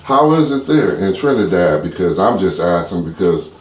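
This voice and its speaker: A man's voice: low voice, sing-song, normal speed, echoey room, low-quality recording. A man with an American accent, casually interviewing as a podcast host.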